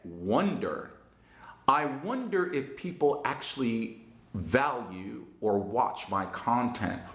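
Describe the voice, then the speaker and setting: Lively New Jersey man teaching English. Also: fairly low pitch, echoey room. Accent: Northern New Jersey. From United States. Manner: slow, emphatic, encouraging delivery. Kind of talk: online English lesson.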